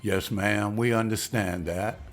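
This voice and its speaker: A man's voice: fairly low pitch, steady tone, measured pace, soft background music, natural voice. A man reading the part of William, a hopeful, respectful husband who has just landed a waiter's job, in a table read. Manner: earnest, respectful, says ma'am.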